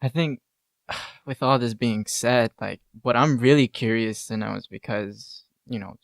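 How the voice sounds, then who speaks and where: A podcast interviewer talks casually in a creaky voice, recorded close and dry. The delivery is informal and thoughtful, with halting phrasing as questions take shape. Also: mid-range voice, no room sound.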